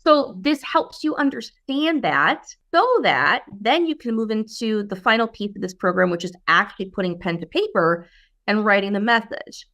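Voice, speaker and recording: Woman, mid-pitched voice, podcast guest, brand strategist, emphatic coaching manner, explanatory interview talk. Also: expressive, moderate pace, fairly bright tone. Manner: emphatic, coaching, poses rhetorical questions.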